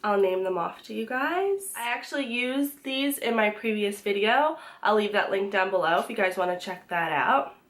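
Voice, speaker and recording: A young woman talks through her favorite beauty products in a relaxed, chatty way, her voice a little hoarse from being sick. She pauses often on "um" and restarts her sentences as she goes. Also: mid-range voice. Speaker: beauty vlogger. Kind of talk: casual product review to camera.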